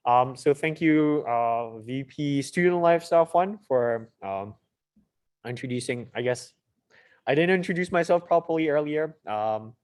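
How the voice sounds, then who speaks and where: A man's voice: mid-range voice, measured pace, a little mellow, clear, resonant tone. A young man from Mauritius moderating an informal online student presentation, with casual, slightly hesitant phrasing. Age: young adult. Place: online video call.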